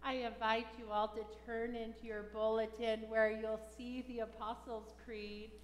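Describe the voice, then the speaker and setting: Woman, middle-aged pastor, slightly high voice, solemn liturgical prayer, reverberant church. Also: flat delivery. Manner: measured, solemn. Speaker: pastor leading a funeral service. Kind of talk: liturgical prayer and creed recitation. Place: large church sanctuary, at the altar. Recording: echoey church hall with long reverb.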